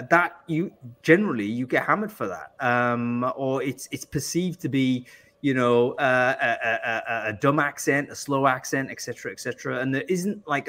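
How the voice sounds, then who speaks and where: A man's voice: medium pitch, bright, forceful tone. A man from England hosting a panel discussion, talking with many restarts and fillers. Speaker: host.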